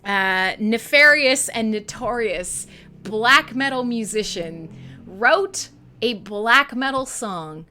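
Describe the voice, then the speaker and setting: A woman's voice: fairly high pitch, sharp tone. A woman hosting a music show in an irreverent, sweary monologue with sassy, dramatic emphasis. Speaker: radio show host.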